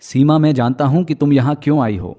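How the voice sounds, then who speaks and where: A man voicing a gentle, fatherly talking magical tree in a children's story. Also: on the high side, fairly quick, lightly acted. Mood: gentle, reassuring. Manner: reassuring, fatherly address. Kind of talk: children's moral story acting.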